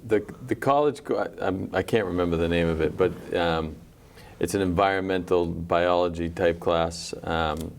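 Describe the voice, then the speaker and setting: Deep, smooth-voiced enthusiastic teacher. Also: male voice. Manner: enthusiastic, halting. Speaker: high school educator guest. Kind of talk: casual chat.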